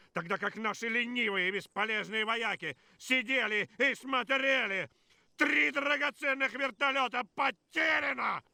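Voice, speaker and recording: A man's voice: sits high, gravelly, sharp tone, no echo, full character voice. A man acting as an irate, domineering Soviet general in a video game cutscene. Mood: furious.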